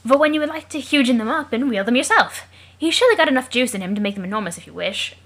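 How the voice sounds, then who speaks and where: A woman's voice: fairly high pitch, expressive, quick pace, fairly bright tone. A woman voicing a plucky, chummy young male adventurer with a put-on British accent and theatrical, old-fashioned wording. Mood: jaunty, confiding.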